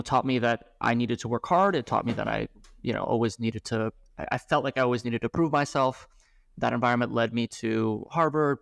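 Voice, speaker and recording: A man in his thirties, a startup founder, talking about his work in a casual podcast interview, halting, with frequent restarts and fillers. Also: medium pitch, creaky, no echo. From grew up abroad, moved to the US at eleven.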